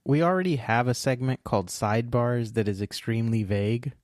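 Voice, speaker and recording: A man's voice: mid-range voice, a little fast, darkish tone, dry recording, studio quality. A man co-hosting a podcast in casual chat, making sarcastic jabs. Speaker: podcast co-host.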